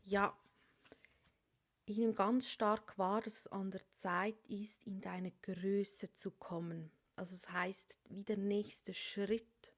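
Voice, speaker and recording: Woman, tarot reader, soft voice, whispers often, has a cold, mid pitch. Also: flat delivery, takes it slowly. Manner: soft, slips into whispers over the cards. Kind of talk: tarot reading for a star sign.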